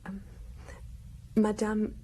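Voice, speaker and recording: An actress playing a reluctant, nervous young woman under questioning in a radio drama, hesitant and hushed. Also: mid-range voice, monotone, extremely slow, a little hiss or hum.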